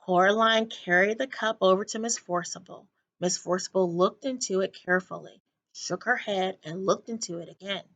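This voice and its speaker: A woman teacher reading a children's story aloud in an expressive narrating style. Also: mid-range voice, normal speed, lo-fi sound.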